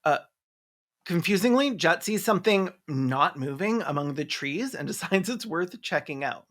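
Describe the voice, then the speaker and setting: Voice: medium pitch, expressive, moderate pace, fairly bright tone. A person giving a sarcastic, sweary comedic commentary on a film. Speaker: video essayist and host.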